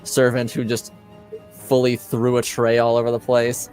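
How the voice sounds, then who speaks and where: A man improvising narration as a tabletop game master, in halting, hesitant, piecemeal phrases. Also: medium pitch, completely flat delivery, a little mellow, soft background music.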